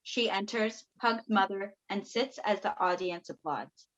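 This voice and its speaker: A woman's voice: medium pitch, measured pace, a bit bright, dull audio. A woman reading stage directions as scripted narration for a play over a video call.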